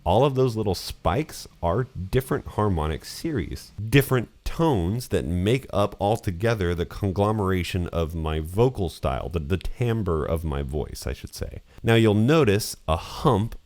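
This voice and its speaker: Deep-voiced man teaching voice mixing. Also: measured pace, few pauses, dry recording. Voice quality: slightly nasal voice. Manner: relaxed, explanatory, casual with fillers. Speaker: audio engineer teaching voice mixing. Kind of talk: screen-recorded mixing tutorial. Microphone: studio condenser microphone close up.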